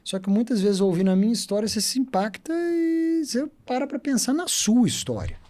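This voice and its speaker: A man in his thirties with a Minas Gerais accent, a podcast guest telling his story in an animated, talkative way. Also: extremely high pitch, a little fast. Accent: Minas Gerais Portuguese. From Brazil. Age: 30s. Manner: chatty, animated storyteller who loves to talk. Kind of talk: casual storytelling interview.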